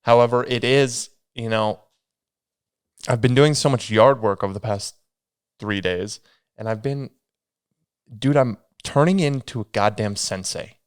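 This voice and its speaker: A man's voice: mid-range voice, unhurried, pauses often, smooth, no echo, clean studio sound. A young man hosting a solo podcast, rambling casually with a sniffly, stuffy nose. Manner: rambling, self-deprecating, meandering restarts. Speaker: podcast host.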